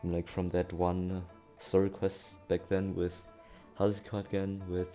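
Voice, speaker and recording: A woman's voice: very deep voice, level tone, moderate pace, dark tone, faint music behind. A woman giving casual, hesitant and amused commentary while playing a game. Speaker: gamer reacting to game.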